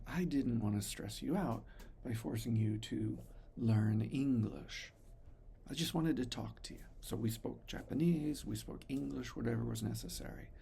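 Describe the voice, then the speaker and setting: A man in his fifties from the United States, talking slowly and thoughtfully to his son in a reflective personal vlog. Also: medium pitch, vocal fry, breathy edge. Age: 50s. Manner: slow, thoughtful, pausing often, soft-spoken. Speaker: father recording messages for his son.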